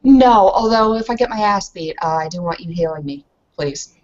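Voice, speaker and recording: A woman's voice: medium pitch, expressive, moderate pace, darkish tone. Woman roleplaying over muffled lo-fi audio. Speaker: player in a tabletop roleplaying game. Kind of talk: casual roleplay chat and narration.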